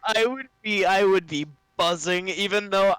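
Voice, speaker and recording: A man's voice: sits high, unhurried, a bit bright, clean tone, dry recording. A man on a sports podcast panel, chatting casually with informal, emphatic phrasing.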